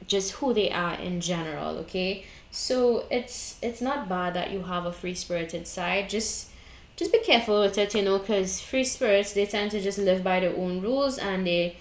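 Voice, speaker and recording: A woman's voice: mid-range voice, roomy sound, slight background noise. Blunt Trinidadian woman giving card readings. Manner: blunt, chatty, frequent "okay?" and "right?" check-ins. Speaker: tarot card reader. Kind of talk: casual spiritual advice monologue.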